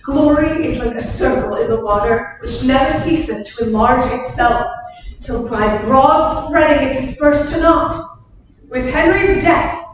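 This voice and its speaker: A woman's voice: sits high, measured pace. A woman acting a defiant young warrior maiden in bold, declamatory Shakespearean verse. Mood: resolute. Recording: very echoey room, distant mic, noise.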